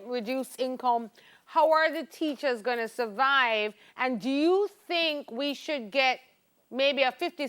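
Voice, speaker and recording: A woman's voice: high voice, fairly bright tone. A Jamaican woman hosting a morning show, asking questions in a chatty, direct way. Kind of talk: conversational interviewing.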